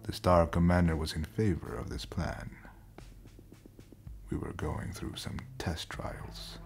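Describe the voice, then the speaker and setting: An actor playing an ancient, brooding, homesick spacefaring captain in an audio drama, speaking solemnly and deliberately in a gravelly, breathy near-whisper. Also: male voice, sits low, level tone. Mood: grave recounting. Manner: slow, solemn, deliberate storytelling. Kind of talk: scripted audio roleplay drama.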